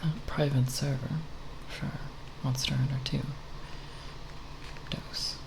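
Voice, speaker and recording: ASMR creator, soft half-whisper, heavy vocal fry, hesitant unscripted rambling, close mic, clearly audible background noise. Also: mid-range voice, little pitch movement. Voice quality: soft, breathy, partly whispered. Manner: hesitant, halting, with long pauses.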